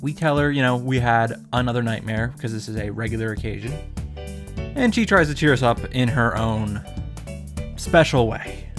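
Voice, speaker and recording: A man's voice: mid-range voice, animated, moderate pace. Sarcastic man recapping over music. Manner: sarcastic, profane. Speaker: host of visual novel reaction summaries. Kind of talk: casual comedic recap narration.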